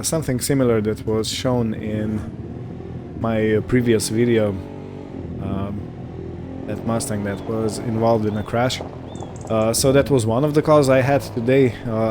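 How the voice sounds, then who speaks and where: A man giving casual roleplay gameplay commentary in a hesitant, rambling, unhurried manner. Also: lowish voice, background noise. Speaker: gaming video host.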